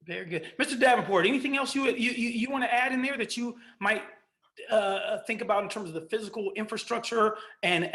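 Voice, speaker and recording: A middle-aged man with a notably high voice moderates a community panel, speaking in an animated, storytelling way. He often restarts his phrases as he builds toward his questions, like a college lecturer talking to an audience. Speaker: panel host and college history instructor.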